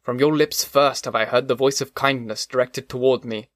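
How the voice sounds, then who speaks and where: A man's voice: medium pitch, fairly even intonation, moderate pace, fairly bright tone, dry recording, close to an everyday voice. Outcast creature, fervent and pleading , as a man reads him, dry close sound. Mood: grateful. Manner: pleading, fervent old-fashioned speech. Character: lonely, pleading male creature, desperate for kindness.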